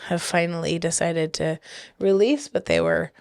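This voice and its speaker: A woman's voice: on the low side, fairly even intonation, normal speed, vocal fry, a little mellow, dry recording, clean studio sound. A woman co-hosting a casual podcast with her partner, chatty and giggly, sounding slightly stuffy from blocked sinuses. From big city. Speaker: podcast co-host. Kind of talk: casual chat.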